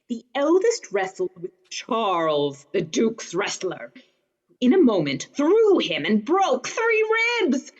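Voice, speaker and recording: A woman's voice: fairly high pitch, dull audio, heavily acted. A woman playing an affected courtier bearing news in a Shakespearean radio play.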